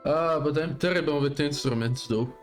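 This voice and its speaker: A man's voice: fairly high pitch, normal speed. A man streaming a video game live, giving casual, offhand commentary as he plays. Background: faint game music.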